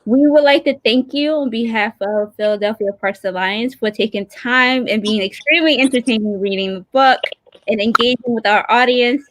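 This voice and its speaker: A woman's voice: fairly high pitch, moderate pace, poor audio. An American woman hosting a casual live interview in conversational speech. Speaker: host.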